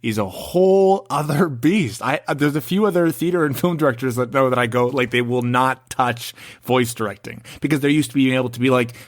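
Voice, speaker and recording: A man's voice: medium pitch, fairly quick, hardly any pauses, dry recording, studio quality. A young man hosting a podcast, chatting casually with jokey asides and frequent laughter. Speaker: podcast host. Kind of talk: casual chat.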